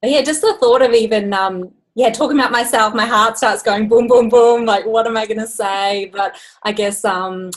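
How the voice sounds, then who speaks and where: A woman's voice: on the high side, normal speed, few pauses. Nervous, hesitant woman introducing herself. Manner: nervous, hesitant with many ums. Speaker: branding designer. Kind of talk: self-introduction on a group video call. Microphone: video call.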